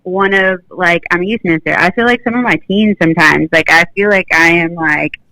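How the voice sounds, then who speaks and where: A woman's voice: lowish voice, brisk, bright, forceful tone, dry recording, rough recording. A woman in her twenties calling in to a show, chatting casually and filling her talk with "like". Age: 20s. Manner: chatty, full of "like". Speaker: caller. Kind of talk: casual phone-in chat.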